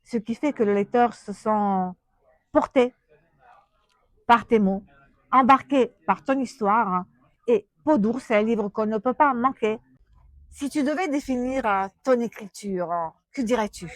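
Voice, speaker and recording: High-voiced Italian-born woman interviewing. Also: unhurried, no echo. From Rome, Italy. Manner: chatty, familiar, anecdotal. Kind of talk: conversational literary interview.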